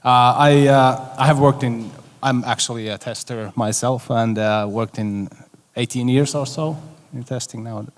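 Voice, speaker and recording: A man's voice: mid-range voice, fairly even intonation, measured pace. A man from Finland, a tester, introducing himself on a conference panel in a playful, enthusiastic way. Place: conference stage in a large hall. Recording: long hall reverb.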